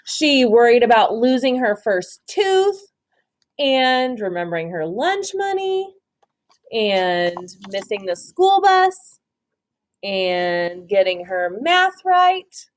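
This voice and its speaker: A woman reading a children's story aloud expressively, pausing to ask simple questions and encourage answers. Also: mid-range voice, sing-song.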